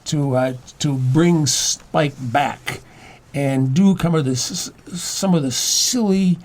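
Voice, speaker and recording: An older man talking as a studio guest in an interview, explaining things haltingly with many stammered, repeated words. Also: on the high side, a little mellow. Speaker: studio guest, playwright and former teacher. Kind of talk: conversational interview.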